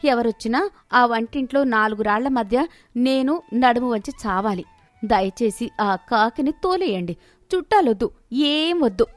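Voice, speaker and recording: A woman voicing an overworked, sharp-tongued village wife in a storybook tale. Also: a little high, normal speed, faint music behind, natural voice. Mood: irritated. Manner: complaining, sarcastic.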